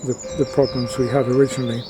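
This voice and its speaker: A man giving a halting, matter-of-fact commentary on a field experiment, recorded outdoors in a garden. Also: mid-range voice, flat delivery. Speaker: amateur radio experimenter. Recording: outdoor wind and ambient noise.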